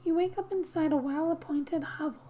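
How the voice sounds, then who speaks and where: A woman narrating a game in soft-spoken ASMR style, often dropping into a breathy whisper, reading gently like a storybook with quiet asides. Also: very high-pitched, normal speed, slight background noise. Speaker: gaming ASMR video host.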